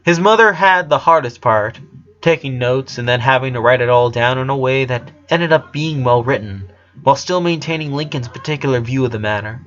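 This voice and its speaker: A man's voice: mid-range voice, fairly bright tone, muffled recording. A man reading a story aloud as narration, sometimes stumbling over his words and correcting himself.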